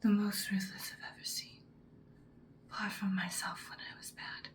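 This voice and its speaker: A woman playing a commanding female vampire mentor in a whispered ASMR roleplay, speaking softly, breathily and deliberately. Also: mid-range voice, little pitch movement, close to an everyday voice. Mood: ominous, musing. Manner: slow, deliberate, instructing, ominous. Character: ancient-seeming female vampire mentor, commanding, once ruthless, now good.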